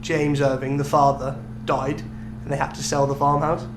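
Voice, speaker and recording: A man's voice: medium pitch, level tone, normal speed. A man co-hosting a podcast, telling a story in casual chat with an amused, explanatory manner. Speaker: podcast co-host. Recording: clearly noisy recording with hum.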